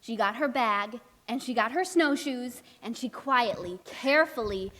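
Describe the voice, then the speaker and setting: A woman's voice: on the high side, lively intonation, measured pace, fairly bright tone. A woman playing a chatty, anxious storybook narrator, speaking expressively and emphatically in a children's puppet show. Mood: storytelling.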